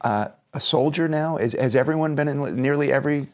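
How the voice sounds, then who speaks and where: A man hosting a podcast interview who asks gentle questions, often restarting and repeating words. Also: a little high, brisk. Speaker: podcast host.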